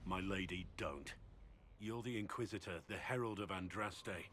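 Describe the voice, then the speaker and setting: A man's voice: deep voice, moderate pace, a bit bright, a little hiss or hum. A man playing a stoic, duty-bound soldier in a game, solemn and formal, guarded about his affections. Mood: solemn, pained. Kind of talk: dramatic game cutscene acting.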